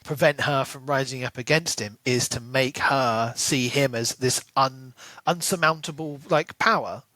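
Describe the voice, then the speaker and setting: A man co-hosting a podcast, talking through a book casually and animatedly, often thinking aloud in halting bursts. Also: medium pitch, sharp tone, no echo, low-quality recording. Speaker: podcast co-host.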